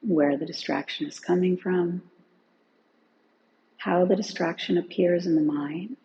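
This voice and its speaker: A woman with a mellow, lowish voice guides a meditation gently and without hurry. There is a noticeable creak in her tone. The recording is somewhat muffled, with faint noise underneath. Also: fairly even intonation. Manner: gentle, unhurried with long pauses. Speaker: meditation and yoga teacher. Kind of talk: guided relaxation instruction.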